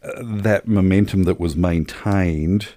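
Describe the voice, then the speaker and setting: A man's voice: low voice, takes it slowly, mellow, dry recording. A man, co-hosting a film review podcast in casual chat with wordy, wry, colourful phrasing. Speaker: podcast co-host reviewing films.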